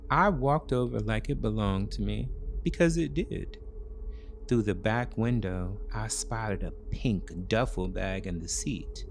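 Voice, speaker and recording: A man delivers scripted first-person thriller narration at a slow pace. He breaks it into short, measured, dramatic phrases, with a mid-range pitch and faint ambience behind the voice. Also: gravelly, slight background noise.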